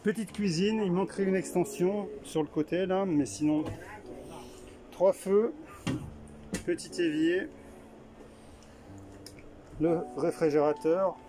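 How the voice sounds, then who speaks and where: A man talks his way through a small camper van at a trade show, filming on a handheld camera and thinking aloud with plenty of fillers. His voice sits a little high. Music plays clearly behind him. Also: fairly even intonation, takes it slowly, pauses often, low-quality recording. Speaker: vlogger touring a camper van. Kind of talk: casual walkthrough commentary.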